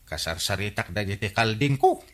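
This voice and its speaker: An actor playing a flustered, bumbling village captain in an Ilocano radio comedy drama, exclaiming and stammering with an Ilocano accent. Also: male voice, lowish voice, animated, normal speed, a little hiss or hum, lightly acted. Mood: flustered, exasperated. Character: blustering, excitable village captain, a comic bumbler.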